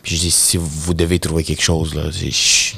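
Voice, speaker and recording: A man in his thirties with a Quebec French accent, a podcast guest telling his story in an animated way, with many restarts and English words mixed in. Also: a bass voice, normal speed, gravelly, husky. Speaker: podcast guest, professional hockey player. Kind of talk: casual storytelling interview. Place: indoor podcast studio with bookshelves. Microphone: studio podcast mic on boom arm.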